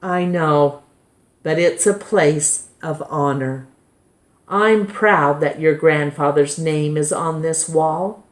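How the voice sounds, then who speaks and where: Lady reading a gentle sad dad from a picture book, low and slow, echoey. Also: fairly even intonation. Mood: solemn, reassuring. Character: grieving, gentle father of a young boy. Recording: roomy, echoing indoor sound.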